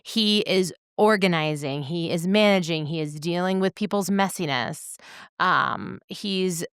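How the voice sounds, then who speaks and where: Smooth, low-voiced woman podcaster. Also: a little slow, dry recording, studio quality. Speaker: podcast co-host. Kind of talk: reflective analytical podcast discussion.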